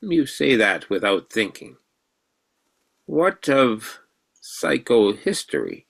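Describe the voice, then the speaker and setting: A man playing a grave, self-assured old mathematician in a radio drama, measured and probing. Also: mid-range voice, very animated, gravelly, a bit bright, heavily acted. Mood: probing, solemn. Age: older.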